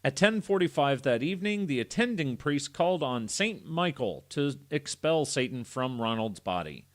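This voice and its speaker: Male podcast host, lively intonation, mid register. Casual storyteller, irreverent and sweary. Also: medium pitch, normal speed. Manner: irreverent, foul-mouthed, joking. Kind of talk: casual reading of a story with joking asides.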